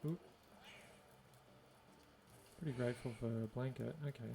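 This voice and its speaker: A man's voice: medium pitch, measured pace, gravelly, noisy background. An Australian man giving casual gameplay commentary, musing and thinking aloud to himself. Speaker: gaming video host.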